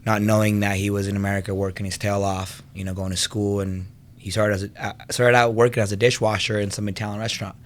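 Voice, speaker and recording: A man's voice: lowish voice, flat delivery, fast, few pauses. A man in his thirties from Venezuela, a podcast guest telling his own story in a casual, conversational way, often saying "you know". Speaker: podcast guest, fitness business owner and trainer.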